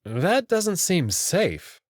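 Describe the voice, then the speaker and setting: A man's voice: high-pitched, huge pitch swings, extremely slow, dry recording, clean studio sound, full character voice. A man playing an anxious, skeptical young human hero who narrates his own story in a visual novel, in a plain, casual, wry way. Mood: skeptical, questioning. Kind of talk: first-person visual novel narration and dialogue.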